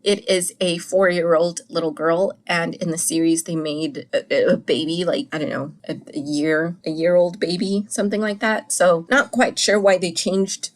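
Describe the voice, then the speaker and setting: A woman hosting a solo podcast, chatting in an animated, casual way, a little congested and coughing after a cold. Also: on the low side, normal speed, hardly any pauses. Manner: chatty, animated, laughs and restarts often. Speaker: podcast host.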